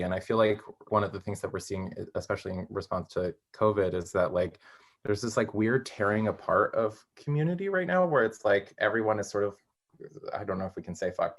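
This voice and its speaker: A young man being interviewed as a playwright, chatting casually and haltingly, often correcting himself as he thinks aloud. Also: low voice.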